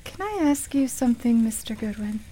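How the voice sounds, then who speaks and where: A woman playing a nostalgic, wonderstruck shop customer in a radio play, with a hesitant, halting delivery. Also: a little high, animated, dark tone, slight background noise, close to an everyday voice. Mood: stunned disbelief.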